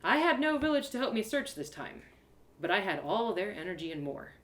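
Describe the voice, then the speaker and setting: A woman narrating a first-person adventure story in an expressive, theatrical way with dramatic pauses. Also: medium pitch, roomy sound. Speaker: storyteller performing own one-woman audio drama.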